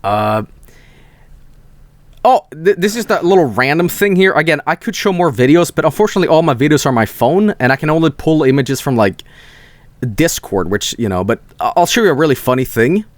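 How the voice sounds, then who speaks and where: A young man chats through a loose, rambling story, swearing freely and tripping over his own restarts. His pitch leaps up and down as he talks, and the sound is close-miked and dry with no room around it. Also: sing-song, fairly quick, no room sound. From Sweden. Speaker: streamer. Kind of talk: casual storytelling stream.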